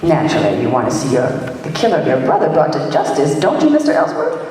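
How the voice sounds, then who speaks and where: A brash female gumshoe from a radio mystery, acted by a woman, narrating and sparring with dry sass. Her voice sits a little low and comes from well off the microphone in a big echoing room. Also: huge pitch swings, fast, darkish tone, faint music behind. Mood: brisk, sassy. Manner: sassy, dry, wisecracking. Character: brash wisecracking female private detective, narrating her case. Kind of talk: old-time radio detective play acting. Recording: echoey hall, distant microphone.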